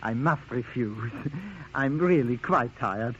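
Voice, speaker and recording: A man's voice: mid-range voice, animated, takes it slowly, a bit bright, slight background noise. Sly henchman, dull old radio sound. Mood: oily, amused. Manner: oily, mock-polite, chuckling. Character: sly, greedy, charming male double-crossing henchman. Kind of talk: 1940s radio drama acting.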